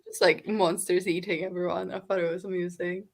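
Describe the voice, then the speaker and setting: A woman's voice: on the low side, flat delivery, a little fast, gravelly. A woman co-hosting a casual book discussion over a video call, rambling with lots of "like" fillers. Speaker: book podcast co-host.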